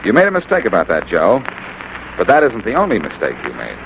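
A cool, self-assured sleuth from a 1940s radio mystery. His low voice swings freely as he needles and wisecracks. The old broadcast recording is plainly noisy. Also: huge pitch swings, fairly quick, creaky, highs cut off, heavily acted. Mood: accusing, wry. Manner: wry, confident, needling. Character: cool, wisecracking amateur detective, sure of himself. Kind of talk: 1940s radio detective drama acting.

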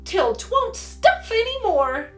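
A woman's voice: high-pitched, sing-song, a little slow, fairly bright tone, faint music behind, voice pushed up, heavily acted. A woman voicing a caring, bubbly little girl in a storybook, with eager, exclamatory, folksy old-fashioned speech. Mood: cheerful, brisk. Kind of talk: storybook character voice.

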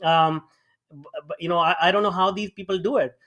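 A man's voice: high-pitched, steady tone, brisk, bright, forceful tone. A middle-aged man from India with an Indian accent, a guest telling his life story in a podcast interview, with many fillers and restarts. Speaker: guest, AI founder.

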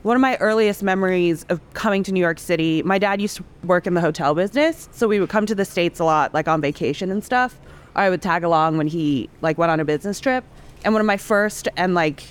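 A woman's voice: on the low side, clean tone. A woman in her thirties, a cookbook author from Singapore, chatting casually as a podcast guest and filling her talk with "like". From Singapore, raised in Indonesia and Hong Kong. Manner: chatty, frequent "like" fillers, laughs easily.

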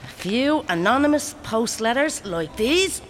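A woman's voice: fairly high pitch, expressive, unhurried, noisy background. An actress playing a vengeful woman who gloats and mutters to herself in an audio drama. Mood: gloating, vengeful.

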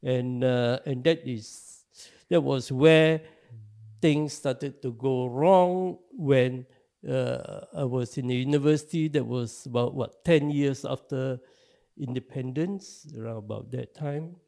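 An older man from Singapore, speaking with a Singaporean English accent, who gives measured, halting answers in an interview. His voice is gravelly and dark, and he often pauses on fillers as he thinks. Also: mid-range voice. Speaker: interview guest, activist.

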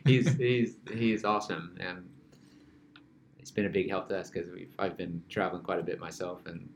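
A man's voice: low voice, fairly even intonation, normal speed, slight background noise. A man hosting a podcast, joking around and laughing often. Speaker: podcast host. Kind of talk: casual podcast chat.

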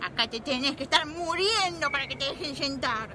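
A woman plays a fussy old Argentine grandmother in a comedy. Her voice is very high and lively in pitch, always blunt and complaining, over very loud street noise. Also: brisk. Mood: grumbling. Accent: Argentine Rioplatense. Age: older.

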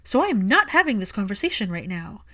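A woman's voice: medium pitch, big pitch swings, fast, sharp tone. A woman narrator voicing a fiercely loving, hurt young woman in an audiobook, speaking with emphatic feeling. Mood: angry, resolute. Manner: emphatic, impassioned.

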